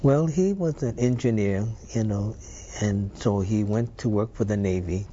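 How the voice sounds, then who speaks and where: Older man, mellow low voice. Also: lo-fi sound, a little hiss or hum. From Hawaii. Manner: unhurried, thoughtful storytelling with filler words. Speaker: interview guest. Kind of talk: reminiscing interview.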